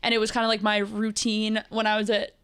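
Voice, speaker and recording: Fast-talking young woman, casual guest. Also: mid-range voice, monotone, fairly bright tone, clean tone. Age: 20s. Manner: quick, casual, lots of "like". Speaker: podcast guest. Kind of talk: casual chat.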